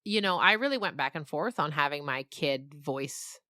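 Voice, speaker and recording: Bright-voiced woman in a reflective interview. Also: sits low, expressive, normal speed, no room sound, studio quality. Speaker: interview guest, podcast creator. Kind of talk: casual, reflective interview talk.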